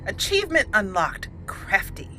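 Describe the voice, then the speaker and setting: A woman's voice: mid-range voice, very animated, normal speed. Chatty woman commentating over game noise. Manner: thinks aloud, chatty self-talk. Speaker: game streamer narrating a roleplay playthrough. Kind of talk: casual let's play commentary.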